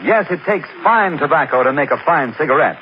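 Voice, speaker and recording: A male announcer pitches a product in a 1940s radio commercial, his delivery emphatic and his pitch lively. The old broadcast sounds muffled and slightly hissy. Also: fairly quick.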